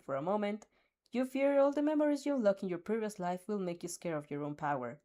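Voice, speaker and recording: A young woman reading a second-person fanfic aloud, expressive and giggling at her own slips. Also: mid-range voice, quick pace. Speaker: fanfic reader.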